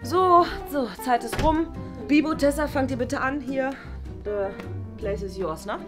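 Eine Frau spielt eine genervte, abfällige Vertretungslehrerin in einem Schulsketch und redet fahrig in abgebrochenen Sätzen. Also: female voice, high voice, measured pace, music in the background. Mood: impatient. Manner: rambling, breaks off sentences, exasperated. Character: irritable, dismissive female substitute school teacher, easily exasperated. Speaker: substitute teacher in a sketch series. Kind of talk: improvised school sketch acting.

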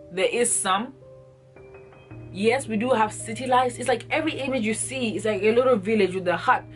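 A young woman from Ghana, talking to the camera in an earnest, emphatic way and repeating herself for stress, recorded close up in a small room. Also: mid-range voice. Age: young adult. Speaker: vlogger. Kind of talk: passionate direct-to-camera monologue. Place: small indoor room, plain wall. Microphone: camera in front at close range.

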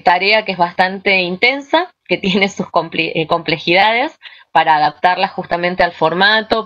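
A woman's voice: fairly low pitch, fairly bright tone. A woman with an Argentine accent presenting slides as a workshop presenter over a video call. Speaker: workshop presenter, script adapter. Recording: dull lo-fi video-call audio.

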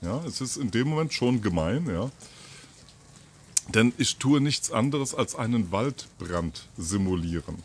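A man in his fifties giving an informal, halting monologue to camera, thinking aloud with pauses and repeats. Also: on the low side, expressive. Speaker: video channel host. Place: outdoors on a terrace or balcony by trees. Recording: outdoor ambient noise.

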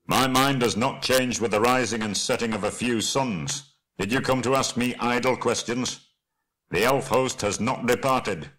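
A man's voice: medium pitch, moderate pace, a bit bright, pitched above the performer's own voice, close to an everyday voice, poor audio. A man voicing a proud, wrathful dwarf king in an audiobook story, with an imperious, bitter way of speaking. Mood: proud, defiant.

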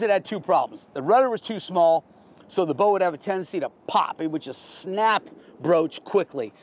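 A man's voice: high-pitched, darkish tone. A middle-aged American man giving a casual, unscripted boat tour in a plain-spoken, folksy, explanatory way, like a veteran sailor.

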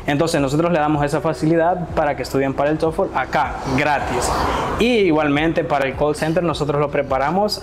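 A young man in his twenties from El Salvador, eagerly explaining his language school in a casual interview, with many fillers. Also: fairly high pitch. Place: small classroom, indoors. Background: soft background music.

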